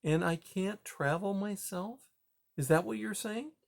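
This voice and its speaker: Young girl mouse voiced by a man, soft, pleading, higher-pitched. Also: a little slow, gravelly.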